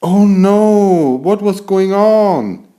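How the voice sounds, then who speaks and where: Man as adventurous male tomcat, storybook hero, raised pitch, excitable, eager exclamations. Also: extremely slow, full character voice. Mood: excited, alarmed.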